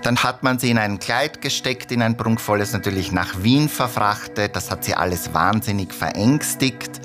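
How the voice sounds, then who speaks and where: An actor playing an earnest expert guest in a radio drama interview, telling things like a storyteller and drawing out key phrases. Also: male voice, lowish voice, moderate pace, music in the background, natural voice. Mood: earnest, emphatic.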